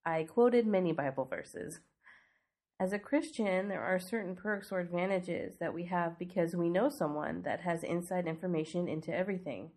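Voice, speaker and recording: A congested woman with a snuffly nose and a fairly low voice, teaching on her devotional podcast. She talks earnestly and conversationally, asking her listeners questions as she goes. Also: normal speed, darkish tone. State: congested from a cold. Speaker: podcast host.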